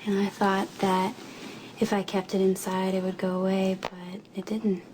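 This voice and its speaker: Lady acting as a caring aunt on a tv soap, candid and gently persuasive, mid pitch, noisy background. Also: little pitch movement, normal speed, lightly acted. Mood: gentle, confiding. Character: caring, candid aunt urging a child toward help. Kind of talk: naturalistic television soap drama acting. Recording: clearly noisy background ambience.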